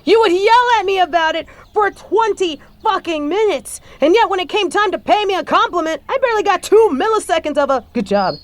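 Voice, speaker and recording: A woman's voice: very high-pitched, lively intonation, a little fast, few pauses, dry recording. A woman playing a bitter adult daughter confronting her stepfather in an audio roleplay, scathing, profane and confrontational. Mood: accusing, angry. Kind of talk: scripted audio roleplay monologue.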